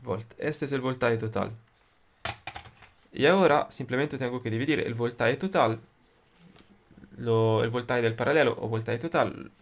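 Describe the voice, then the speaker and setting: A man with an Italian accent, a native Italian speaker, explaining electronics in a deliberate, halting way with frequent fillers. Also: medium pitch, highs cut off. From Italy. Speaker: electronics tutor. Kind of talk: explaining circuits at a whiteboard.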